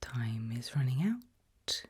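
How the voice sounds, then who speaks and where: A woman whispering a medical exam roleplay in ASMR style, breathy and hushed, slow, deliberate and gently coaxing. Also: extremely low pitch, sing-song. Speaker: ASMR performer playing an examiner.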